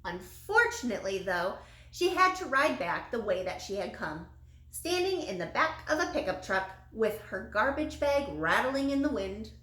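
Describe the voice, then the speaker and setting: A woman's voice: on the high side, big pitch swings, moderate pace, fairly bright tone. A woman reading a children's story aloud, as a teacher would to young listeners. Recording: echoey room with light background noise.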